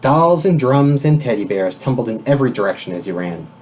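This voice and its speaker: Man reading a children's storybook, lively intonation. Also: mid-range voice, brisk, a little hiss or hum. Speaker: storybook reader. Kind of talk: children's storybook read-aloud.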